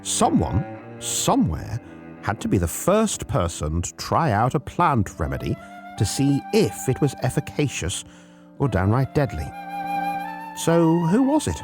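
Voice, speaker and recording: A man's voice: mid-range voice, huge pitch swings, measured pace, music in the background. A man narrating a scripted folk tale as a podcast storyteller, theatrical and playful, speaking directly to the listener.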